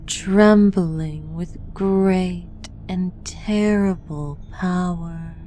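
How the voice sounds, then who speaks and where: A woman narrating a scripted guided meditation in a hushed, deliberate, incantatory way. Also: fairly low pitch.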